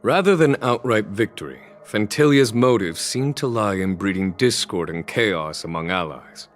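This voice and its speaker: A man playing a composed, courteous commander in a video game, speaking in a measured, formal, thoughtful manner. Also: on the low side, animated, close to an everyday voice. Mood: measured, diplomatic. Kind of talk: scripted video game dialogue.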